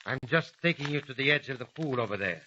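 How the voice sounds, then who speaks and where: Cruel scheming smuggler boss, sneering, bright edge to the voice, hissy old radio. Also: male voice, medium pitch, expressive, fast talker, lo-fi sound. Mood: menacing. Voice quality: sneering, contemptuous. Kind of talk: 1950s radio drama acting.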